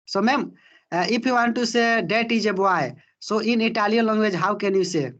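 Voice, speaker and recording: Indian-accented male host, courteous and deferential, high voice, dull lo-fi call audio. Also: normal speed, a bit bright. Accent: Indian English. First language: Hindi. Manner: polite, deferential, says "ma'am". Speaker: host and English student. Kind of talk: casual interview.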